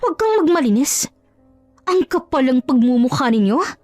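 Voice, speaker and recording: Betrayed wife for audio drama, played by a female narrator: high voice, furious, accusing, dramatic delivery, very dry sound. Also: big pitch swings, moderate pace, darkish tone, dry recording, faint music behind. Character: betrayed wife, furious, anguished, accusing, confronting cheating husband.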